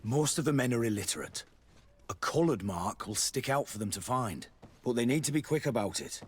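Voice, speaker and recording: An actor playing a worldly, chatty army quartermaster in a video game, a man with a husky voice. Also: mid-range voice, expressive, brisk, a little hiss or hum, natural voice. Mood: matter-of-fact. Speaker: military quartermaster.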